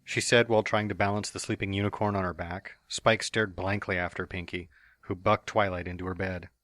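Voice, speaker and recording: Low-voiced male narrator, plain and even, dry close sound. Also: brisk, dry recording. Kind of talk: fan dramatic reading of a story.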